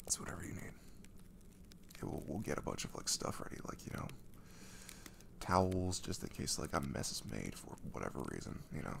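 A man playing a gentle, caring boyfriend in an intimate ASMR roleplay, speaking softly and breathily, often half-whispering, with casual, hesitant reassurance. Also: extremely low pitch, big pitch swings, a little fast, vocal fry, background noise, exaggerated voice. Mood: tender, reassuring. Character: caring, gentle young boyfriend comforting his girlfriend.

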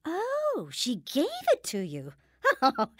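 A cruel, belittling mother in an audio drama. Her voice sits high and swings dramatically up and down. She scolds with cutting sarcasm and a short temper. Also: huge pitch swings, slow pace, no room sound, studio quality, full character voice. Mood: mocking, sarcastic.

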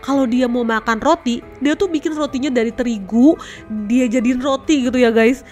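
A woman's voice: a little high, normal speed, a little mellow. A young woman casually telling a story to her viewers in a chatty, conversational way. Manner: chatty, addresses viewers as guys. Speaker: host. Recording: faint background music.

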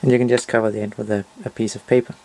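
A man's voice: on the low side, level tone, racing, darkish tone. A man narrating a step-by-step DIY tutorial in short, simple, matter-of-fact instructions.

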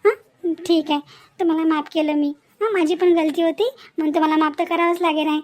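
A woman voicing a soft-hearted, conscientious young wife in a cartoon domestic story, speaking gently. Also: extremely high pitch, steady tone, a little fast. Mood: conciliatory.

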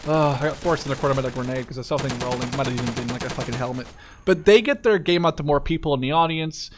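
A man's voice: mid-range voice, very fast, background noise. A man doing casual video game commentary in a rambling, profane, easily distracted way. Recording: gunfire and clicks behind the voice. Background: video game gunfire.

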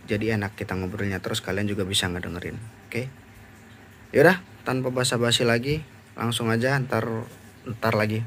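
A man's voice: on the low side, a little hiss or hum. A young man with a Jakarta accent giving a casual, unscripted how-to in informal slangy talk. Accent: Jakarta Indonesian. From Indonesia. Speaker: motovlogger giving a DIY tutorial. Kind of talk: casual unscripted tutorial chat.

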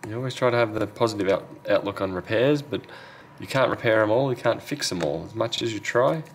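A man with a fairly low voice talks casually through hands-on repair work. His delivery is unscripted and half to himself, like a technician narrating at the bench. Background noise is clearly audible behind him. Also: brisk. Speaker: phone repair technician and video host. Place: indoor repair workbench.

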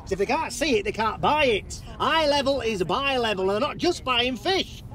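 An actor playing a boastful, touchy middle-aged fishmonger, talking pompously in a small car. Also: male voice, extremely high pitch, animated, normal speed, a bit bright, a little hiss or hum. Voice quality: pompous, self-important.

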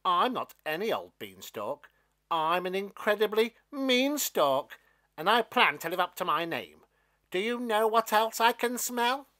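A man voicing a boastful, mean talking beanstalk in a children's storybook, loud, theatrical and gloating. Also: sits high, big pitch swings, a little slow, voice pushed up, exaggerated voice. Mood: boastful, taunting.

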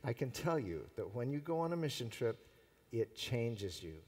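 Husky-voiced man hosting a stage interview. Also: medium pitch, very animated, normal speed, a little mellow, long reverb tail. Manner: encouraging, affirming interjections. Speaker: interviewer host. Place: large convention hall stage.

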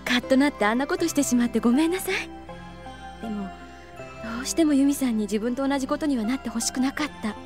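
A voice actress playing a gentle, wistful young woman teacher in an anime audio drama, soft and reflective. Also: sits high, quick pace, over music, close to an everyday voice. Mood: apologetic.

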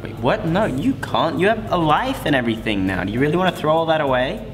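A young man delivers exasperated, sarcastic lines in an amateur short film. His voice moves through a wide, lively pitch range, sitting slightly high for a man. The echoey school hallway adds reverb and a faint hiss. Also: quick pace, over music. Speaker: student actor.

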